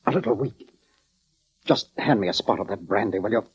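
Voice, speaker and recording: A man's voice: fairly low pitch, brisk, slightly rough. An actor playing a weary, courteous male concert musician with formal, theatrical phrasing in a 1940s radio drama. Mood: weary, reflective. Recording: dull, muffled vintage radio recording.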